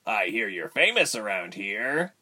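Man playing a quiet, devoted male captive. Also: mid-range voice, sing-song, moderate pace, pitched above the performer's own voice. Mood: warm teasing. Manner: quiet, earnest.

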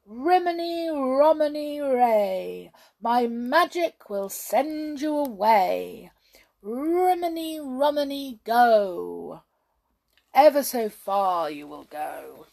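A woman voicing a boastful male brownie conjurer who gives loud, grand commands. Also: high voice, lively intonation, extremely slow, gravelly, pitched above the performer's own voice, exaggerated voice. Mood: chanting.

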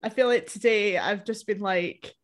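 A woman co-hosting a podcast in relaxed, self-mocking chat, laughing often. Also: mid-range voice, normal speed. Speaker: podcast co-host. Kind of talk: casual chat.

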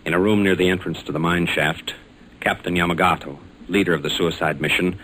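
A man's voice: sits low, fairly even intonation, fairly quick, fairly bright tone. An American man announcing and narrating in a scripted, formal and emphatic 1940s radio style. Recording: noisy old 1940s radio recording.

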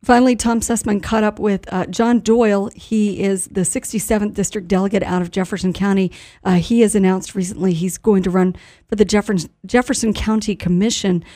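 A woman presents a local radio news recap. Her voice is mellow and sits at a middle pitch, and she introduces recorded clips in a conversational manner. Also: steady tone, brisk.

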